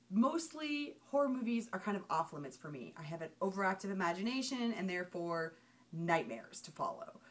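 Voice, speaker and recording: A young woman talking casually to her webcam at home, chatty and emphatic as she gives a movie review. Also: medium pitch. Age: young adult. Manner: chatty, animated hand gestures, emphatic outbursts. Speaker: vlogger. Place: small home room with shelves. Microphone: webcam at desk.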